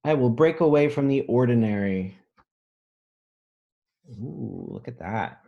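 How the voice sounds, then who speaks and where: A man, an elementary school teacher, reading a picture book aloud expressively and pausing to explain it in simple words for children. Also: mid-range voice, animated, vocal fry, highs cut off.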